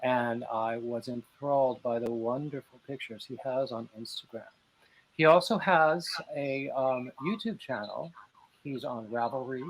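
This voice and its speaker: A man's voice: mid-range voice, a little slow, slight background noise, low-quality recording. A man hosting an interview over a video call, chatty and playful, with plenty of fillers. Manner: chatty, jokey, many fillers. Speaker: interview show host. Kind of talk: casual chat interview.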